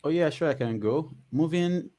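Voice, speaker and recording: A man's voice: a little high, normal speed, warm tone. A man talking casually as a guest on a panel discussion, chatty and full of fillers. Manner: chatty, frequent "you know" fillers.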